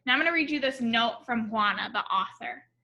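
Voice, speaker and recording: Bright-voiced woman reading to children. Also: on the high side, lively intonation. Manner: instructive, pausing to question and point out pictures. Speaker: teacher reading a picture book aloud. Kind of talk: storybook read-aloud with asides and questions to children.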